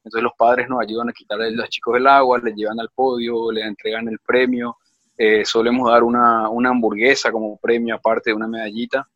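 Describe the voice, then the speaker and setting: A man's voice: medium pitch, level tone, a little fast, dry recording, dull audio. A man who coaches swimming at a club, speaking hesitantly with many restarts in an online group discussion.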